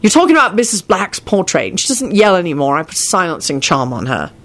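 A woman's voice: on the low side, very animated, fast, a bit bright, full character voice. A woman voicing a young man, a wizard, who speaks mildly but turns snappish. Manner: mild, then snappish. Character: exasperated young adult male wizard, godfather.

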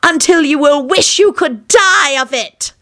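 A woman's voice: high-pitched, a little slow, fairly bright tone, pitched above the performer's own voice. A woman voicing an indignant witch who speaks as a tree stump, in a commanding, declamatory manner. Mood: menacing, commanding.

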